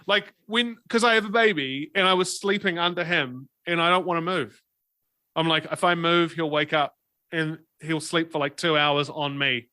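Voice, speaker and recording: A man's voice: a little high, fairly even intonation, moderate pace, bright, forceful tone, no room sound. A man chatting casually as a podcast guest, telling everyday anecdotes.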